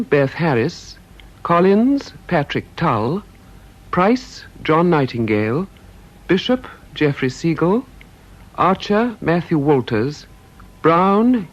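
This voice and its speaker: A man's voice: fairly high pitch, very animated, slow pace. A British man announcing the scripted cast credits of a radio play. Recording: dull radio broadcast recording.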